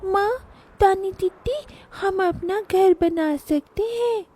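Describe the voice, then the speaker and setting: Lady doing a sweet eager little girl for a kids cartoon, very high soft childlike voice. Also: slow, a little hiss or hum. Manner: childlike, earnest. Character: bright, earnest little village girl, eager to help. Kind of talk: children's cartoon story acting.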